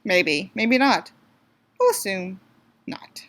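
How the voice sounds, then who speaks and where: A woman's voice: medium pitch, lively intonation, slow pace, a bit bright. A woman from the United States doing a mocking dramatic reading, theatrical, with sarcastic asides. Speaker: reader and commentator.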